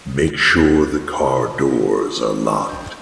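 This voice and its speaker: An actor playing a sinister male horror host who taunts the listener in a slow, mocking way. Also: rumbling low, gravelly, a bit bright, heavily acted. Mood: menacing. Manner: slow, taunting, menacing delivery. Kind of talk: 1940s-style radio horror hosting. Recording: echoey reverb-heavy hall effect.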